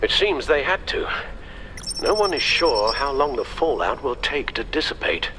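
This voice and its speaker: An actor playing a loyal, worried butler and confidant in a video game, speaking formally and solemnly with careful wording. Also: male voice, medium pitch, big pitch swings, normal speed, pushed and bright, slight background noise, poor audio. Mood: grave. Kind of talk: dramatic video game acting.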